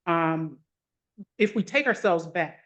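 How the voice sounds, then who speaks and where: A woman's voice: on the low side, expressive, slow, a bit bright. An American woman hosting a podcast, responding earnestly to her guests. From United States. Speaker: podcast host. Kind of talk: interview commentary.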